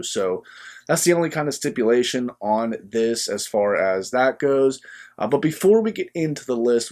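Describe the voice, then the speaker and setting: A young adult man speaks in a relaxed, casual vlog style, his voice a little stuffy and sniffly from being sick. He rambles easily to his viewers, pausing often with small fillers as he chats. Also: medium pitch. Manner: chatty and easygoing, many filler pauses. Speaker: book channel host. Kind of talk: casual vlog talk to camera.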